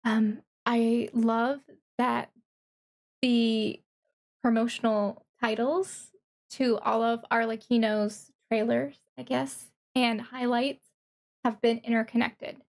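A woman VTuber giving reaction commentary on a game trailer in a thoughtful, analytical, halting way. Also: fairly high pitch, flat delivery. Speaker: VTuber streamer.

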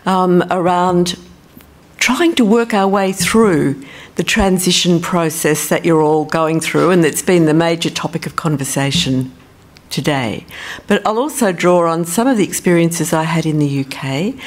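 A woman with an Australian accent giving a conference presentation as a professor, relaxed and self-deprecating, thinking aloud with fillers. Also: lowish voice, unhurried.

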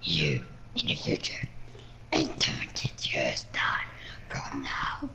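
A woman voicing a vengeful villain from the future in a breathy, rasping whisper for an amateur game roleplay. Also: a bass voice, huge pitch swings, takes it slowly, pushed and bright, exaggerated voice. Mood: menacing.

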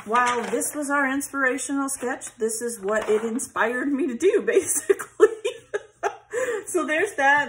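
A woman's voice: a little high, fairly bright tone, a little hiss or hum, rough recording. A woman hosting a casual scrapbooking video, chatting and thinking aloud as she arranges pieces at her craft table. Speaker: scrapbooking channel host. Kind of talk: casual craft tutorial chat. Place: craft table at home, overhead camera on hands.

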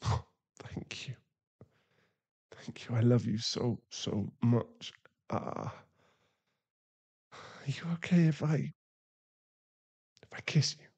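Slow, gravelly, remorseful boyfriend acted by a man. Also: medium pitch, a little mellow, heavily acted. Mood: tender, grateful. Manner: halting, emotional, pleading, with sighs and breathy drops into whispers. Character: remorseful, tender male werewolf boyfriend, shaken and ashamed. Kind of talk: intimate ASMR boyfriend roleplay acting.

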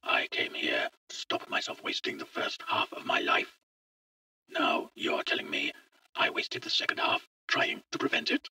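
A man playing an eager, bewildered middle-aged visitor from the future, speaking in a hushed, halting way in a story. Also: no room sound, distant mic. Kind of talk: audio short story dialogue.

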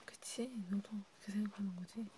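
A woman doing a chatty, intimate ASMR role play in a soft whisper, close to the mic. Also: medium pitch, fairly even intonation, fast. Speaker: ASMR creator. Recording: clear background noise with clicks.

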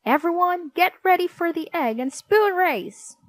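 A stern woman games teacher, performed by a female storyteller, pitched high and barking commands. She is bossy and severe, with forceful swings in every order, as in a children's storybook. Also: expressive, a little slow, no room sound. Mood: commanding. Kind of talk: children's audiobook character voice.

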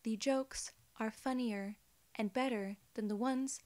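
Woman role-playing a soft-spoken, formal female alien gatekeeper. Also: a little high, fairly even intonation, close to an everyday voice. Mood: polite, reassuring. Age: 20s. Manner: soft, measured, formal, reassuring. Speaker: ASMR role-player.

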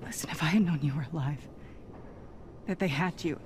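A woman's voice: deep voice, a little fast, background noise, natural voice. A woman playing a guarded, earnest spy superhero in a video game, serious and intense. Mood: earnest, concerned. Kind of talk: cinematic video game acting.

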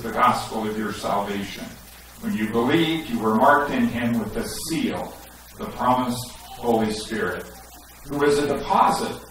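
A man's voice: medium pitch, fairly bright tone, a little hiss or hum. A middle-aged man preaching a sermon and reading scripture aloud in a church sanctuary. He speaks through a headset mic, gestures as he talks and rises to emphatic questions. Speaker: pastor. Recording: echoey sanctuary, recorded from a distance.

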